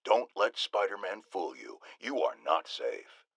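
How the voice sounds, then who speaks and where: An actor playing a blustering, self-righteous middle-aged American talk-radio host in a video game, with pompous, emphatic delivery. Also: male voice, low-pitched, sing-song, a little slow, gravelly, fairly bright tone, no room sound, full character voice. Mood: grave, warning. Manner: pompous, emphatic, ranting oratory. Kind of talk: opinionated talk-radio monologue, theatrical game acting.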